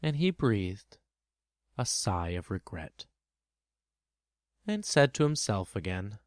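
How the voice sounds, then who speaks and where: A man's voice: on the low side, big pitch swings, slow pace, dry recording, studio quality. A man hosting a storytelling podcast, speaking in an earnest, reflective manner. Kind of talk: storybook narration and personal host talk. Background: music under the voice.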